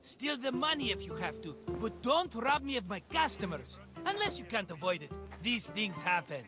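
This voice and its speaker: Casting: glib wine-merchant schemer for a cinematic game, effusive, very high-pitched, highly animated delivery. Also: male voice, normal speed, music in the background. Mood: persuasive. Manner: wheedling, effusive, evasive salesman patter. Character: glib, cheerful scheming vineyard-owning merchant, male friend. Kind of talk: cinematic video game dialogue acting.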